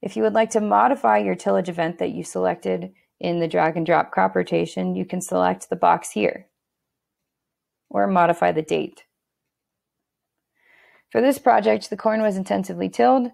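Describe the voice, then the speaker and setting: A woman narrating a screen-recorded software walkthrough in a steady instructional tone, with a few fillers and restarts. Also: on the low side, moderate pace, vocal fry, long pauses.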